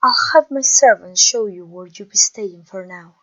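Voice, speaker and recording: A woman playing a haughty, mocking vampire queen in a theatrical ASMR roleplay, pompous and teasing, with drawn-out phrasing. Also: mid-range voice, fairly bright tone, highs cut off, natural voice. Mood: haughty, playful. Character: haughty, mocking, conquering vampire queen taunting her captive. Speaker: audio roleplay voice actor.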